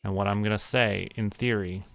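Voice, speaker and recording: A young man streaming a relaxed game, talking to himself and counting under his breath as he plans. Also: fairly low pitch, moderate pace, creaky, a little hiss or hum, low-quality recording. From Canada. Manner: muttering, counting to himself while planning. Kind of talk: relaxed gameplay commentary.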